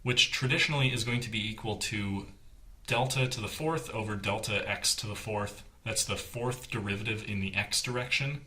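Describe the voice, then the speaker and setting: A man's voice: fairly low pitch, moderate pace, sharp tone. A man giving an explanatory technical lecture as an engineering instructor, explaining things plainly and step by step.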